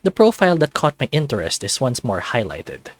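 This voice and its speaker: A man, a channel host, narrating a visual novel in the first person with an expressive, animated storytelling delivery. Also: mid-range voice, a little fast, dry recording.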